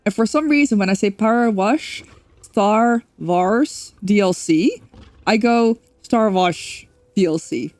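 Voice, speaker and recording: A woman's voice: medium pitch, slow pace, a little mellow, dry recording. A woman from the Netherlands with a Dutch accent, chatting casually while streaming a game, rambling with lots of fillers. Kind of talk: casual gameplay stream chat.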